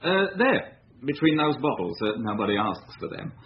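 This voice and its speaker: An actor playing an evasive, shifty bar owner with a record in a radio drama, guarded, hesitant and offhand. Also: male voice, medium pitch, very animated, moderate pace. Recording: dull radio recording with background noise.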